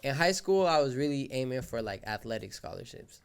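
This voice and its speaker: A young man from Colombia whose first language is Spanish, a rapper and event organizer telling his story in a casual podcast interview, relaxed and slangy. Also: mid-range voice, a little fast, creaky, smooth. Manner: laid-back slangy storytelling, often says "you feel me".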